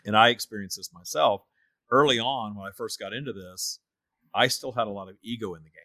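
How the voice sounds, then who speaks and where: Bright, forceful low-voiced man. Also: fairly quick, no room sound. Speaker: podcast host and teacher of a spiritual practice. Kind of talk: conversational spiritual discussion.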